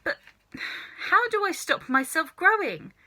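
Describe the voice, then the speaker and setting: A woman's voice: very high voice, very animated, a little slow, bright, forceful tone, pitched above the performer's own voice, heavily acted. A woman voicing a timid eight-year-old boy in a children's story, protesting in a squeamish, pleading way. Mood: puzzled, plaintive. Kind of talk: children's storybook character reading.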